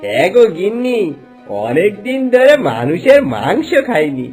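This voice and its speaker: An eager cartoon ghost husband acted by a man, doting and fawning. The voice sits high and swings widely, with music behind it. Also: very animated, unhurried, darkish tone. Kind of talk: cartoon voice acting.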